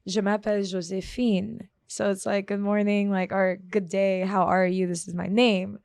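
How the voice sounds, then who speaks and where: A woman's voice: medium pitch, little pitch movement, moderate pace, gravelly, a little mellow, no room sound. Chatty laughing woman in her twenties. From Germany. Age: 20s. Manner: chatty, laughs often, self-deprecating. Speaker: guest. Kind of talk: casual interview chat.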